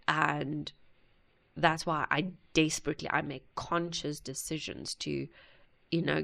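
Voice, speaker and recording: A woman hosting a music reaction video, talking earnestly and haltingly with many pauses. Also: deep voice, vocal fry, a bit bright. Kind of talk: casual reaction commentary.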